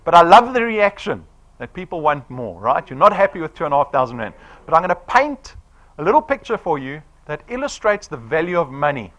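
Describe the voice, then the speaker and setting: Animated, persuasive man presenting to a crowd. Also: fairly high pitch, brisk. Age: 40s. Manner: animated, persuasive, quizzing the room with "right?". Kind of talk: sales presentation to a live audience.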